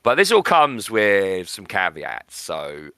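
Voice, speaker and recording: A man's voice: low-pitched, huge pitch swings, takes it slowly, pushed and bright, smooth, no echo, studio quality. A man hosting a podcast, discussing books in an opinionated, emphatic way and repeating words for stress. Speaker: podcast host.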